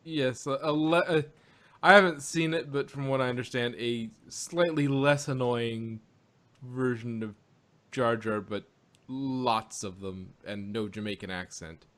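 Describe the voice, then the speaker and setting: A man co-hosting a podcast in casual chat, with somewhat halting, hesitant phrasing. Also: mid-range voice, a bit bright. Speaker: podcast host. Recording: dull lo-fi audio.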